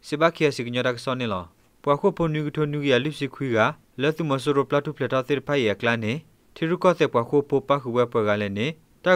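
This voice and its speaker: A man's voice: mid-range voice, a bit bright, clean tone, no echo. A man presenting the news, reading from a script as a radio newsreader.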